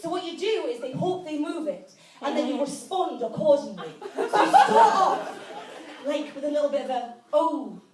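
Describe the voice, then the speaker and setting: A young woman with a Geordie accent performs stand-up in a notably high voice. The room is echoey and she sounds some way from the microphone. She talks in a conversational, self-mocking way, feeling her way through each line. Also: lively intonation, normal speed, a loud crowd. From Newcastle area, North East England. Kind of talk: live stand-up comedy monologue. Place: live indoor event with an audience. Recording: echoey room, distant mic, audience noise. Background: audience laughter.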